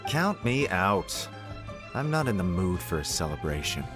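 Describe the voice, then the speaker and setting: A man's voice: deep voice, sing-song, unhurried. A man voicing an impatient, sulking rival candidate in a game, blunt and irritable. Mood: irritated. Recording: game audio with music behind.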